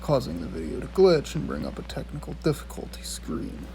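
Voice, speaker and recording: A man's voice: fairly high pitch, sing-song, fairly quick, gravelly, noisy background. A man hosting a video review, reading scripted commentary with some casual, profane asides.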